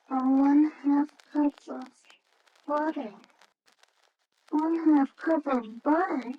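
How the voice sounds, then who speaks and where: A performer playing an earnest, forlorn small child with a halting, plaintive manner in old-time radio style. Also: high-pitched. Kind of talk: 1940s radio acting. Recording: dull, faintly noisy old radio recording.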